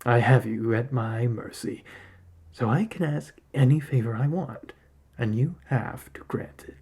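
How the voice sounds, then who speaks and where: A massive male spirit creature of the arctic, as a male narrator acts it out, husky and dark in tone. It is sly and needy, with slow, commanding speech in a storybook telling. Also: medium pitch. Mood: menacing.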